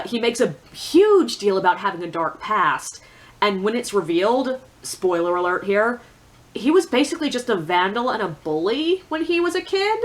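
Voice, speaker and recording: A woman giving a casual, opinionated book review in a blunt, sardonic, conversational way with emphatic asides. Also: fairly high pitch.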